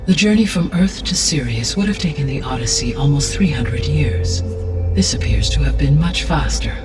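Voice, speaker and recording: A man voicing a calm, benevolent artificial intelligence in a video game, speaking in a formal, precise and measured way. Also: fairly high pitch, far from the mic, close to an everyday voice. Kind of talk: scripted video game cutscene dialogue. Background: background music.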